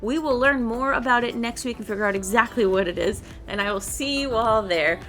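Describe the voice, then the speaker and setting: A woman's voice: on the high side, brisk, a bit bright. A woman giving casual, rambling game commentary as a video creator, thinking aloud and questioning herself as she goes. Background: background music.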